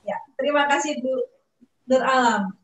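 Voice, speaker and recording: A woman's voice: high voice, normal speed, a bit bright. A woman in her forties moderating an online question-and-answer webinar. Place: online video meeting. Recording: roomy, distant mic, faint noise.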